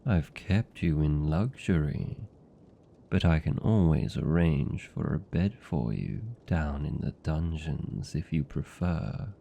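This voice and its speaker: A seductive British vampire played by a man, holding someone captive and doting on them. His voice is very low and extremely dark and soft, with a heavy creak. He teases in an intimate, velvety fantasy ASMR style. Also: expressive, a little hiss or hum, exaggerated voice. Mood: silky, teasing. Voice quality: deep, dark, gravelly murmur. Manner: slow, teasing, velvety endearments. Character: seductive, possessive male vampire captor, teasing and doting.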